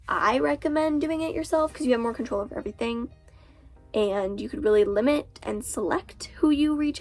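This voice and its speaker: A woman's voice: a little high, creaky. A teenage girl with a cold, sounding stuffy and nasal, chatting casually as an author and booktuber and filling her talk with "like". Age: teens. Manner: chatty, lots of fillers like "like". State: congested, stuffy nose from a cold.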